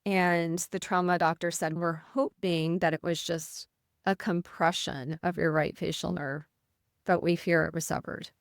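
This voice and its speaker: A middle-aged woman nurse with a Midwestern American accent, speaking with a voice that can sound slightly rough, telling a personal story in an interview. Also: fairly low pitch, little pitch movement, measured pace, no echo, studio quality. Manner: earnest, vivid retelling with quoted inner thoughts. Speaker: nurse, podcast guest.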